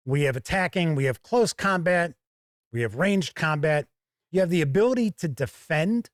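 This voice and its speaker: A man giving an explanatory game review, talking through rules in short, measured, emphatic phrases. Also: fairly high pitch, no room sound, clean studio sound. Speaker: board game reviewer and host.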